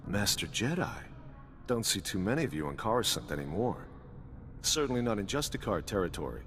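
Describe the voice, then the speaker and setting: A man's voice: fairly low pitch, fairly bright tone. An actor playing a wry, suspicious male intelligence agent in a video game, talking in a terse, knowing, dry way. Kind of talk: video game character dialogue. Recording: reverberant hall with background noise.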